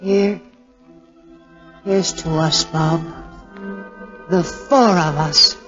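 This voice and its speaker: A woman's voice: fairly low pitch, drawn-out words. An actress playing a brave, restrained young woman grieving her husband in a 1940s radio drama. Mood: brave, subdued. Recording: muffled 1940s radio recording with music.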